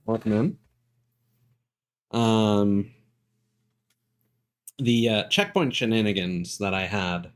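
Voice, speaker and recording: A man chatting casually on a live game stream, thinking aloud in a halting way. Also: lowish voice. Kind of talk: casual live-stream chat while gaming.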